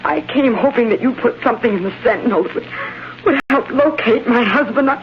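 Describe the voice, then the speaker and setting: An actress playing an anxious, halting, distraught wife in a vintage radio drama. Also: female voice, on the high side, lightly acted. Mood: distraught, disbelieving. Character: distraught, anxious wife pleading for missing husband. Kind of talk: 1930s-40s radio drama acting. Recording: muffled, noisy vintage radio broadcast.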